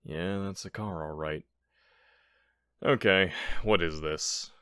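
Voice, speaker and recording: A man's voice: deep voice, slow, fairly bright tone, smooth, no echo, clean studio sound. A man playing a nervous, bumbling burglar in an audio roleplay, stammering and bluffing with theatrical emphasis and indignation. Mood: nervous, flustered. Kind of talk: ASMR audio roleplay acting.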